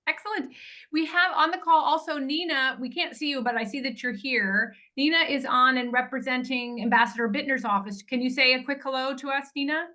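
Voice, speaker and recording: A woman's voice: high voice, a little fast, sharp tone. A woman hosting a planning meeting over a video call, talking in a chatty way with restarts and fillers. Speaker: event organizer.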